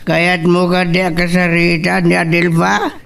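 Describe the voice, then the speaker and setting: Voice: mid-range voice, completely flat delivery, a little slow, gravelly, fairly bright tone. A performer with an Ilocano Filipino accent acting a worried parent in a family romance drama. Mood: concerned. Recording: distant mic, music behind.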